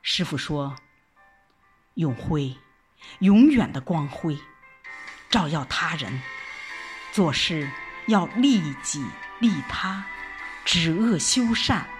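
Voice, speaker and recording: A woman with a slightly low voice narrates an audio drama in the first person. Her delivery is very slow and reflective, with deliberate pauses and wide, expressive swings in intonation. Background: background music.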